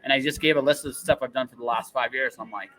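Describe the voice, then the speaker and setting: A man's voice: mid-range voice, steady tone, fast, a bit bright, soft background music. A man hosting a casual, enthusiastic interview, rambling and repeating phrases for emphasis. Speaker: podcast host interviewing a guest.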